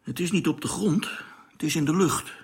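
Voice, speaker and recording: Nervy, grumbling space crewman for radio drama: creaky, rough, plain-spoken. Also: fairly high pitch, normal speed. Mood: uneasy, wondering.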